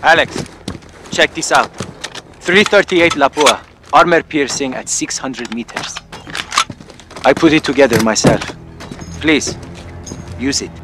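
A man's voice: mid-range voice, a little slow, pauses often, natural voice. A man playing a fervent, fiercely loyal young rebel fighter in a video game, intense and earnest, with a Middle Eastern accent. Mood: earnest, proud. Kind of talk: dramatic video game acting. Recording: loud game music and effects behind.

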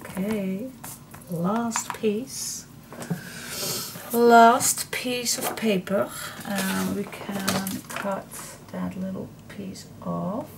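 Middle-aged Dutch-accented woman for a chatty craft demo. Very unhurried, with creaky voice, on a noisy room recording. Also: medium pitch, level tone. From Netherlands. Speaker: craft tutorial host. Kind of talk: casual chatty hands-on demonstration. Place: indoor craft table.

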